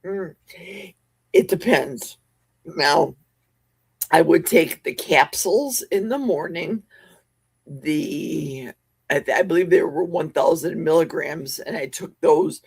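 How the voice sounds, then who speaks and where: A woman's voice: deep voice, slow pace, gravelly, long pauses, breathy edge, no echo. A woman hosting a casual livestream from her home basement, chatty and jokey as she answers viewers' comments. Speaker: livestream host. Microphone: desk mic with a cough button.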